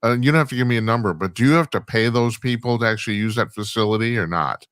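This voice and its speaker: Fast-talking bright male host. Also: medium pitch, clear, resonant tone. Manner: brief back-channel replies, quick questions. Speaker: talk show host. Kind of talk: radio interview.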